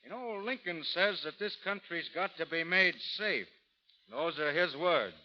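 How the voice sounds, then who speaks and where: An actor playing a stoic, resolute frontier gunfighter in an old radio drama, plain-spoken and earnest. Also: male voice, on the high side, animated, a little slow. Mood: steely. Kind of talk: 1930s-40s radio drama acting. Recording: muffled vintage radio recording, crowd noise behind.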